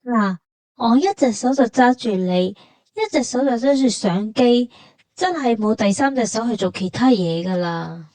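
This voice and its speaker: A woman's voice: on the high side, lively intonation, normal speed, mellow, no echo. A woman with a Hong Kong Cantonese accent hosting a travel vlog in a conversational, explanatory way, as scripted dialogue and narration.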